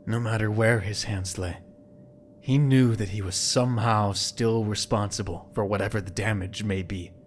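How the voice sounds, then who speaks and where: Low-voiced male narrator over noisy ambience. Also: steady tone, normal speed. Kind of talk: scripted dramatic narration.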